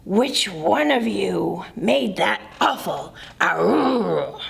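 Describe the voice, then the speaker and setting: A woman's voice: mid-range voice, lively intonation, extremely slow, gravelly, husky, pitched below the performer's own voice, exaggerated voice. A woman voicing a grumpy, sleepy bear in a read-aloud storybook, growling and grumbling.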